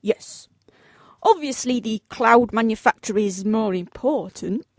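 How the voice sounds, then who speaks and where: A woman's voice: fairly high pitch, expressive, unhurried, no echo, studio quality. A British woman playing a genteel, unflappable office boss in a comic radio play, polite, breezy and formally businesslike. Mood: matter-of-fact. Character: genteel, unflappable female office boss making absurd requests.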